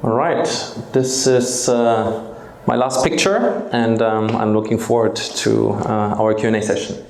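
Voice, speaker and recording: A man in his forties from Germany, a native German speaker, giving a hesitant talk to students in a school auditorium, pausing often on filler sounds. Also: fairly low pitch, steady tone, creaky, darkish tone, a little hiss or hum. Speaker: guest speaker. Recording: echoey hall.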